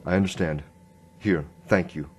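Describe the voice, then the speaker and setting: Man acting a very deep-voiced noir detective. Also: moderate pace, husky. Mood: curt. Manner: deadpan, brooding narration. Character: world-weary, wry, deadpan male noir police inspector. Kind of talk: scripted noir radio drama narration.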